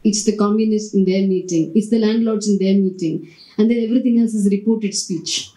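Reflective woman with a dark, mellow voice. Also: mid-range voice, steady tone, a little fast, low-quality recording. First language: Tamil. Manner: reflective, frequent "you know" fillers, self-correcting. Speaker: panelist, writer and translator. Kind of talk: panel discussion, thoughtful speech. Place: large thatched-roof hall at a panel table.

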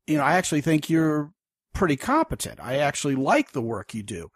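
A man hosts a podcast interview, his voice husky and breathy, recorded dry and close with no room sound. He talks in long, wordy runs and stays animated as he sets up his questions. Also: a little high, brisk, dry recording. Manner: wordy, animated, drifts into acting out quotes. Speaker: podcast host. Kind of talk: conversational interview.

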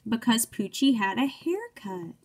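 A woman's voice: fairly high pitch, big pitch swings, unhurried, a little hiss or hum. A young woman reading a picture book aloud to children like a teacher, encouraging and speaking in simple phrases. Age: young adult.

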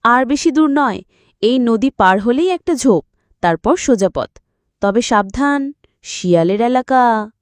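A woman's voice: a little high, lively intonation, measured pace, pauses often, no echo. A woman voicing a curious, brave and wise cat in a children's cartoon, earnest and encouraging. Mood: reassuring.

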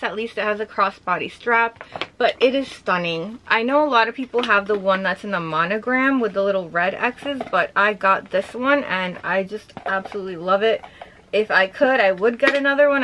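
A young woman chatting casually as she shows off items from her collection, gushing with lots of filler words and a slightly hoarse voice. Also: mid-range voice, bright, forceful tone, slight background noise, low-quality recording. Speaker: vlogger showing her collection. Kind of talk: casual show-and-tell chat.

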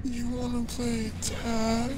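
A man's voice: very high voice, completely flat delivery, pitched above the performer's own voice, poor audio. A man using a voice changer to play a menacing, childlike female spirit killer who taunts and sing-songs. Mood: taunting. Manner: taunting, sing-song, slow and teasing. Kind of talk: horror game role-play. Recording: loud game music behind.

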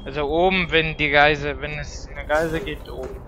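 A man's voice: on the high side, flat delivery, normal speed, highs cut off. A man chatting casually with teammates while playing a video game. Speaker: gamer. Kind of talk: casual gaming chat. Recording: very noisy game voice chat.

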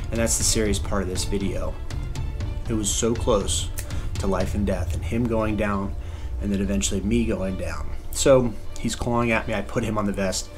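An American man in his forties recounting a story to camera in an earnest, emphatic way. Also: on the low side. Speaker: vlogger, father. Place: bedroom, indoors. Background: music bed.

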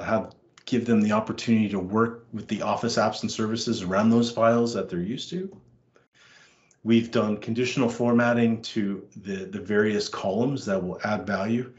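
A lowish male voice talks through a software demo and pauses often on fillers and restarts. He sounds a little hoarse, as if his voice is going. The sound is roomy and somewhat dull. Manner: hesitant, many fillers and restarts. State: losing his voice. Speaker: conference presenter. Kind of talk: screen-share tech session.

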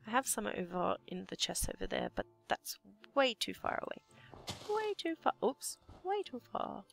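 A young Australian woman with a slightly croaky voice, half-whispering to herself as she commentates her building game. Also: mid-range voice, sing-song, moderate pace, faint music behind. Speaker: let's play gamer. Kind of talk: casual gameplay commentary.

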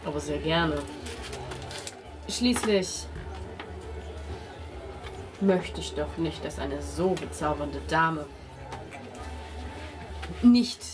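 Woman putting on a smoky, flirtatious gentleman adventurer. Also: extremely slow, pauses often, full character voice. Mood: courtly flattery. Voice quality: deep, slightly smoky. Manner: courtly, flattering, seductive. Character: smooth, flirtatious tall gentleman adventurer wooing a princess. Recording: music playing behind the voice.

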